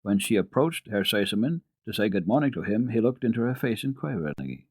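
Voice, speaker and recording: Male children's storyteller: lowish husky voice, very animated, careful dramatic narration. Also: fairly quick, dry recording. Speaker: storyteller and radio host. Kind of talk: dramatic reading of children's classic literature.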